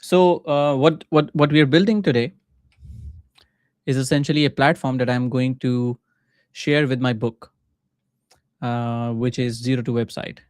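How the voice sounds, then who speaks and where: Clear-voiced Pakistani man, casual and halting. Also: medium pitch, no echo, clean studio sound. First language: Urdu. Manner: thinks aloud in short halting phrases. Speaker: livestreaming coder and mentor. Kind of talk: casual live coding commentary.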